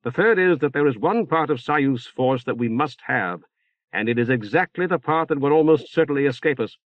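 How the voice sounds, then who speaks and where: Formal civic leader acted by a male narrator, calm, articulate, reasoned, audiobook novel. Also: mid-range voice, normal speed, no echo, lo-fi sound, pitched above the performer's own voice, close to an everyday voice. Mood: reasoned, firm.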